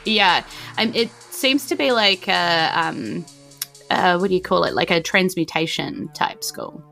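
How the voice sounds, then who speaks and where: Bright-voiced New woman running a game. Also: fairly low pitch, animated, measured pace, vocal fry, soft background music. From New Zealand. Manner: casual, hedging with frequent "like" and "you know". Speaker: game master. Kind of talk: improvised tabletop game narration.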